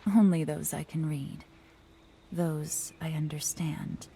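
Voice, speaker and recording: An actress playing an ageless fox spirit in a game, speaking in a soft, breathy, wistful and measured way. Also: female voice, low-pitched, steady tone, slight background noise, full character voice. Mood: intrigued, probing. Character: ageless female fox spirit, pensive and sorrowful. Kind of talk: cinematic game acting.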